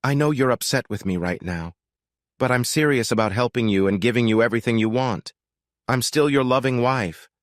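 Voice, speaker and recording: A man's voice: medium pitch, brisk, dry recording, clean studio sound, natural voice. Man voicing a sweetly coaxing middle-aged wife. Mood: coaxing, soft. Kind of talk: audio story character acting.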